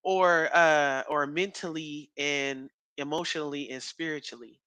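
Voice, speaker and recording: A man in his thirties chatting casually as a podcast co-host, rambling with fillers and quick laughs. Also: on the high side, steady tone, pushed and bright, clean tone, no echo. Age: 30s.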